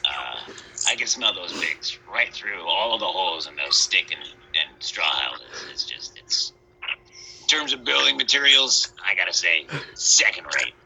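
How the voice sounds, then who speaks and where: A man playing a hungry, hapless Big Bad Wolf in a comic children's story, hesitant and rambling with deadpan asides. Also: deep voice, a little slow, creaky, a little hiss or hum, full character voice, rough recording. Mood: grumbling, hungry.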